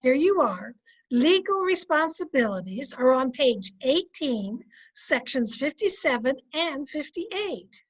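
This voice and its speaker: A woman's voice: high-pitched, very animated, takes it slowly, exaggerated voice, low-quality recording. A woman playing a patient, sensible, dryly teasing middle-aged wife in a recreated 1950s radio comedy. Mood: calm, interested.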